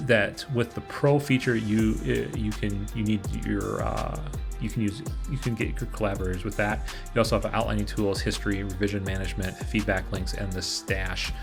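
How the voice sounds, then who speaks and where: A man hosting an informal review video, explaining things to viewers in a halting way. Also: lowish voice, gravelly. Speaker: host of a screenwriting review channel.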